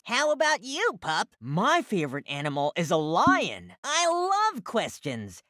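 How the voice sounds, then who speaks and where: A woman's voice: mid-range voice, a bit bright, no echo, studio quality. A woman voicing a cheerful, curious cartoon character for young children, speaking in an exaggerated, sing-song teaching manner. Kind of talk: scripted children's educational cartoon dialogue.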